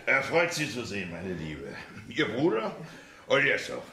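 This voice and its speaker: A blustering old colonel, sharp and forceful. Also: male voice, mid-range voice, sing-song, a little slow, slight background noise. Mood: jovial, blustering. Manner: blustering, jovially cursing. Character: gruff, sickly old retired colonel in a wheelchair. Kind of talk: German radio drama acting. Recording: roomy, reverberant studio scene.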